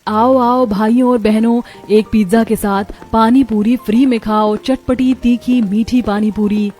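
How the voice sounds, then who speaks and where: A woman's voice: fairly high pitch, steady tone, a little slow, mellow. A woman playing an earnest, cheerful young wife who works as a street vendor in a cartoon story. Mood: calling customers. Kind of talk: animated moral story dialogue. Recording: music behind the voice.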